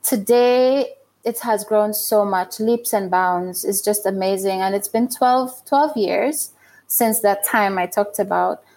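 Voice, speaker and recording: A woman's voice: mid-range voice, slow, a little mellow. A middle-aged woman from Guyana giving thoughtful, explanatory interview answers in a conversational style.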